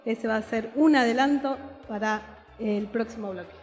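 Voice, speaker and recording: A woman's voice: on the high side, moderate pace, a little mellow, long reverb tail, faint music behind. A woman co-hosting a live community radio broadcast at an outdoor festival, friendly and announcing.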